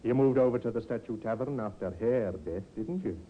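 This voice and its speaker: A calm, methodical police inspector, played by a male actor questions in a formal, politely insinuating way, with a medium-pitched male voice. It is vintage radio drama, dulled and faintly noisy. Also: a little fast, natural voice. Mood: politely probing. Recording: muffled, hissy 1950s radio recording.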